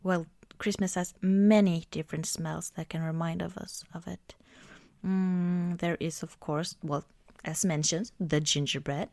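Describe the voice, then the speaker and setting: A woman doing a soft-spoken ASMR girlfriend roleplay, gentle and often slipping toward a whisper, close to the microphone. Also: fairly low pitch, steady tone, a little slow, dry recording. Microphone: close microphone, dry sound.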